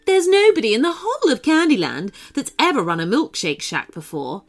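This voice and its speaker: A woman voicing a loud, bossy, cheerful beach-going woman in a storybook, booming and emphatic. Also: sing-song, brisk, faint music behind.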